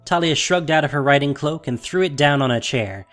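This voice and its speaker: A man's voice: a little high, brisk, fairly bright tone, soft background music. A man reading scripted fantasy fiction as a dramatic narrator.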